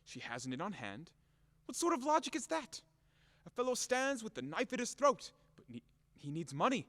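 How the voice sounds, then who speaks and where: Casting: man acting blustery, exasperated landowner for stage farce, highish voice leaping around, reverberant hall sound. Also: big pitch swings, moderate pace, fairly bright tone, full character voice. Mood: exasperated ranting. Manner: theatrical, exasperated ranting and grumbling. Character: blustering, furious, self-pitying male landowner demanding his money. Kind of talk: stage comedy acting in an audio play.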